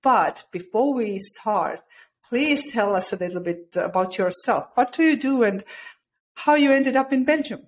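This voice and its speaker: A woman's voice: a little high, animated, measured pace, a little hiss or hum. Enthusiastic Estonian-accented woman host. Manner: enthusiastic, laughing easily. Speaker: podcast host. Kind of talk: interview questions.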